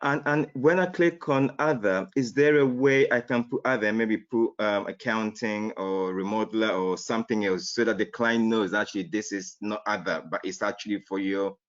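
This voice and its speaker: A man's voice: on the low side, fairly even intonation, moderate pace, few pauses. A man who works as an accountant, calling in with polite, inquisitive questions. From United Kingdom. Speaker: caller, accountant. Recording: dull lo-fi audio.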